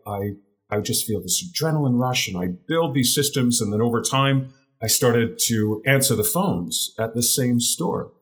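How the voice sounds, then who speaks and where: A man in his forties with a deep voice recounts his path into business as an interview guest. He speaks earnestly and at an ordinary pitch, stumbling over repeated words as he gathers his thoughts. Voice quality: deeper voice. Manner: earnest, repeats words while searching for phrasing. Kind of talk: reflective storytelling in an interview.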